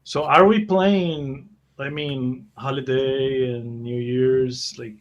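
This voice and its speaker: A man's voice: mid-range voice, steady tone, slow pace, muffled recording. A man chatting casually with a group as a tabletop role-playing player.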